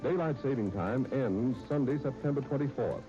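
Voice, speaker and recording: A man's voice: mid-range voice, moderate pace. A man announcing in 1930s radio style, reading a scripted sponsor message. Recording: muffled 1939 radio disc recording. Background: music.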